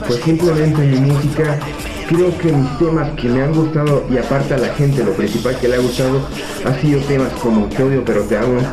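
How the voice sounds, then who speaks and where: A sixteen-year-old Mexican boy from Puebla, talking softly and hesitantly into a webcam in a small office, with many fillers. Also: mid-range voice, fairly even intonation, brisk. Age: teens. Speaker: young reggaeton artist. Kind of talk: self-interview to webcam. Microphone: webcam at desk. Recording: echoey room with music behind.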